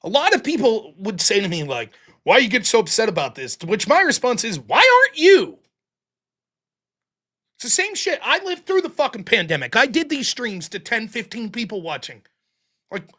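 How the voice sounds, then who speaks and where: A man with a high-pitched voice and audible heavy breathing hosts a live stream, reading donations aloud. His pitch swings widely as he gets fired up and yells, peppering everything with profanity. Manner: animated, profane, yells about politics. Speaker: livestream host reading super chats. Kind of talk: casual livestream chat.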